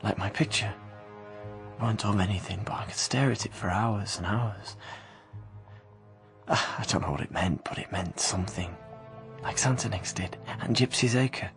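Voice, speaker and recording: An actor playing a haunted young killer, confessing in a halting, brooding radio drama narration. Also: male voice, on the low side, gravelly, a bit bright, soft background music. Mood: wistful, desolate.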